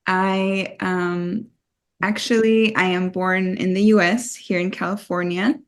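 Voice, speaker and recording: A young adult woman raised in Switzerland, talking through her family story as a podcast guest, unhurried with frequent pauses and ums. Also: lowish voice, steady tone. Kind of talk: conversational interview storytelling.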